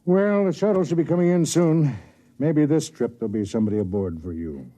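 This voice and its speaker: An actor playing a weary, kindly middle-aged overseer of a space waystation in a 1950s radio drama, measured and reflective. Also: male voice, a little high, expressive, dark tone. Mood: gently probing. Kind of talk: 1950s radio drama acting, first-person narration. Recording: 1950s radio broadcast with music.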